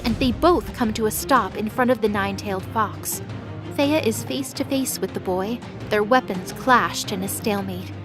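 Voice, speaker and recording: A woman reading scripted dramatic story narration in a measured, descriptive storytelling manner. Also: fairly high pitch.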